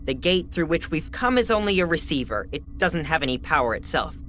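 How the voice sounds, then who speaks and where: A woman playing an eager, brisk science advisor in a video game, explaining matters in a matter-of-fact way. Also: low-pitched, sing-song, fairly bright tone, faint music behind. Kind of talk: video game cutscene acting.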